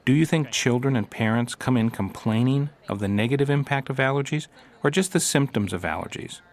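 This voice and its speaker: A man's voice: medium pitch, a little fast. A male interviewer with a mid-range voice who poses formal, scripted questions. He keeps each question tidy and direct.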